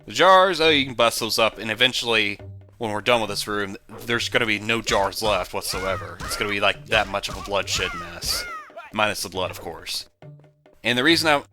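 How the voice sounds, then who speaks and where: A man giving casual, jokey, rambling commentary on a video game he is playing, with sudden loud whoops. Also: fairly low pitch. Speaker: let's play commentator. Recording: game music behind voice.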